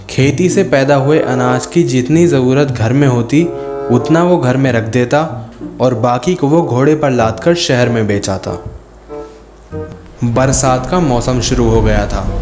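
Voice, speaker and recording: Male narrator, medium pitch, scripted children's storytelling, roomy echo, background music.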